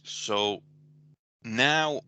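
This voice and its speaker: A man with a Finnish accent giving a recorded programming lecture, pausing often with ums and restarting his sentences. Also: medium pitch, bright, forceful tone, smooth, no echo. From Finland. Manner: hesitant, many ums and restarts. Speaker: university lecturer.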